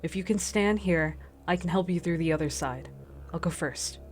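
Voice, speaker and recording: Woman playing a resolute, low-voiced starship captain. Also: normal speed, soft background music, close to an everyday voice. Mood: protective urgency. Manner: urgent, resolute. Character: determined, protective female starship captain under strain. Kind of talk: radio drama acting.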